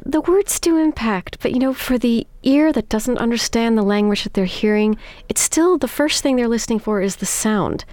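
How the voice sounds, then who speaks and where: Relaxed woman guest, dry sound. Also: on the high side, normal speed, few pauses, no echo. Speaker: musician guest. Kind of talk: interview conversation.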